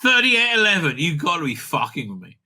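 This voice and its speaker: A man's voice: on the high side, big pitch swings, brisk, sharp tone. A man giving rambling, opinionated commentary as a video host, blunt and dismissive, often repeating himself and swearing. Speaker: YouTube host and reviewer.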